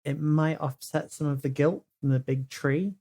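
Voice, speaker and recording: A man's voice: fairly high pitch, steady tone, normal speed, dark tone. A man talking casually as a player in a tabletop roleplay game. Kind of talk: casual chat.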